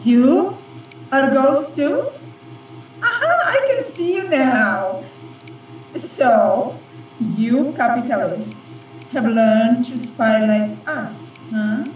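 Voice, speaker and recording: A woman's voice: a little high, big pitch swings, drawn-out words, heavily acted. A woman playing a seductive, scheming Russian agent ghost in a radio play, with a Russian accent and a purring, taunting delivery. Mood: indignant. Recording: dull, echoey, distant, slightly noisy recording.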